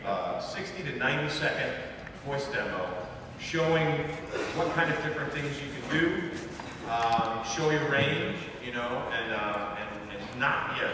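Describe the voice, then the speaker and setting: A man hosting a live convention panel, talking like an excited showman. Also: medium pitch, unhurried. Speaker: panel host and voice actor. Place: large indoor convention hall with audience. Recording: echoey hall, distant mic, noisy crowd.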